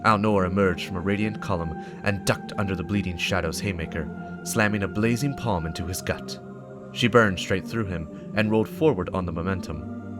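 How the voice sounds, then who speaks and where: A man giving a dramatic scripted narration of a story. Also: low-pitched. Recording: music behind the voice. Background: game soundtrack music.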